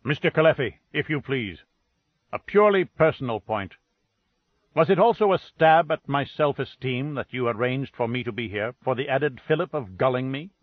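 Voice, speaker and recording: A man's voice: medium pitch, lively intonation, normal speed, no room sound, phone-quality sound, natural voice. A man reading the lines of a proud detective who speaks sharply and formally.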